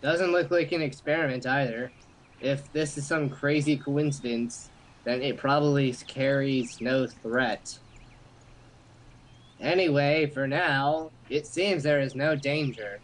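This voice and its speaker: A man's voice: mid-range voice, takes it slowly. Goofy young man reading aloud, noisy background. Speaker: amateur reader of the hero's thoughts in a let's play. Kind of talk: casual read-aloud with joking asides. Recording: amateur home recording with clear background noise.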